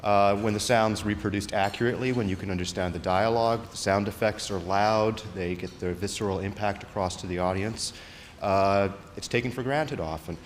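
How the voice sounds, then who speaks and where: A man with a lowish voice makes an acceptance speech, pausing and hesitating as he goes. It echoes around a large hall, with faint noise in the background. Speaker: award recipient, loudspeaker engineer. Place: large hall at awards ceremony.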